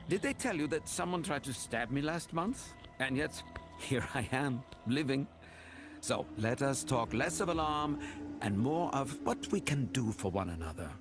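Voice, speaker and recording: A man's voice: mid-range voice, close to an everyday voice. An actor playing a pompous male magistrate in a fantasy game, florid, theatrical and drolly condescending. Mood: sardonic, suave. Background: game music.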